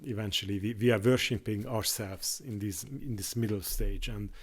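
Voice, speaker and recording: A man with a fairly low voice, a native Hungarian speaker talking without a script. He speaks haltingly, stumbling over restarts and filling pauses as he works his way through each thought. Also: husky. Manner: halting, many restarts and fillers. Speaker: YouTuber. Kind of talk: unscripted monologue on faith.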